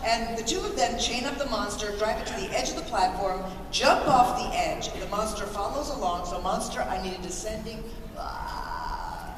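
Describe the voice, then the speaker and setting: A woman's voice: medium pitch, very animated, normal speed, hardly any pauses. Female voice director, encouraging and commanding, reading stage directions over crowd noise in a reverberant convention hall. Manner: encouraging, directive, thanking performers often. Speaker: voice director leading a live table read. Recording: echoey convention hall, distant mic, loud crowd noise. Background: live audience crowd noise.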